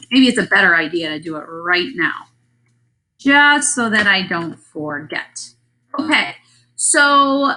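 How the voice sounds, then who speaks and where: Bright-voiced woman teacher, slow and deliberate. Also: medium pitch, low-quality recording. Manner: addresses children, playful asides. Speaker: elementary school teacher. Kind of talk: lesson, thinking aloud while writing a story.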